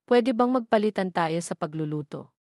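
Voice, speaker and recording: A woman's voice: lowish voice, animated, moderate pace, darkish tone, dry recording, studio quality. A woman with a Filipino accent voicing a feisty, independent young woman in an audio drama romance. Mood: teasing. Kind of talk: scripted audio drama dialogue.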